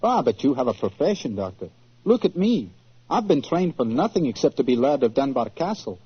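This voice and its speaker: An actor playing an earnest, proud young heir who protests readily, in 1940s radio style. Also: male voice, mid-range voice, big pitch swings, a little fast, breathy edge. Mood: dejected, protesting. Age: young adult. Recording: muffled old-time radio recording.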